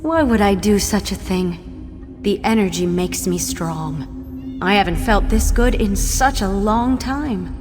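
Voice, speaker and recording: A woman's voice: mid-range voice, expressive, takes it slowly. An actress playing a selfish, defiant enslaved woman in a video game, speaking languidly. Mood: defiant, blissful. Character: selfish enslaved woman savoring stolen energy. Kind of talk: video game character acting. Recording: long reverb with loud music.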